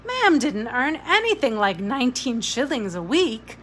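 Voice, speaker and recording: A woman narrating a novel aloud in an expressive storybook reading style. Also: on the high side, measured pace, slight background noise.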